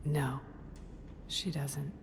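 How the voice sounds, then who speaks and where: Middle-aged weary mother, low-pitched, slow, guarded, resigned, game drama, noisy reverberant sound. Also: steady tone, gravelly, exaggerated voice. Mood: firm, pleading. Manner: weary, deliberate, clipped phrases. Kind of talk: naturalistic dramatic game acting.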